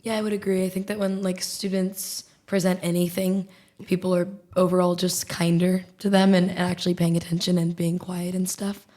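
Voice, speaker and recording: A woman's voice: lowish voice, moderate pace. A young woman from the United States chatting casually as a podcast guest, with "like" and "um" as fillers. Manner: relaxed, uses "like" and "um". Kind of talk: casual discussion.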